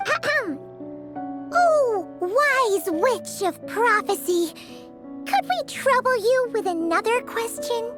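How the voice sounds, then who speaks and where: Very high-pitched, childlike little dragon. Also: lively intonation, slow, music in the background, full character voice. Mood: earnest pleading. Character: small, childlike, eager and polite little dragon. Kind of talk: video game voice acting.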